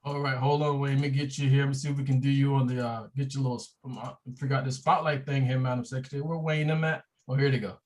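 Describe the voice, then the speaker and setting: A man's voice: mid-range voice, flat delivery, fast talker, hardly any pauses. A man who is a branch president, hosting a virtual meeting in a loose, joking way. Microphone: video call.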